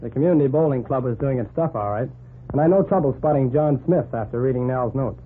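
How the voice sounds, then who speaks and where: Guy doing breezy eager newspaperman in an old radio play, talks real fast, tinny old recording. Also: medium pitch, faint music behind, natural voice. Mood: narrating. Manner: slangy, breezy, cocky. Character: brash, eager young male newspaper reporter. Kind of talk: 1940s radio drama acting and narration. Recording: noisy, phone-like 1940s radio recording.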